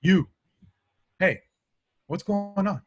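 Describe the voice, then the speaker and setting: A man's voice: sits high, extremely slow. A man reading the part of a cocky, street-smart young police recruit who talks back, in an amateur script read. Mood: demanding. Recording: home recording, remote reading.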